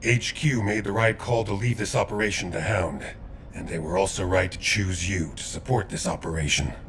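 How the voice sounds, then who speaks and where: A man voicing a grave, seasoned warrior in a video game, measured, earnest and restrained. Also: sits low, fairly bright tone, slight background noise. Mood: solemn, restrained.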